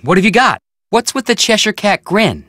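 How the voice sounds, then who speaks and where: An actor voicing a hot-headed, sulky teenage boy detective in a video game, excitable and sarcastic, with an American accent. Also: high-pitched, normal speed, dry recording, studio quality, full character voice. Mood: eager, indignant. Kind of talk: video game character acting.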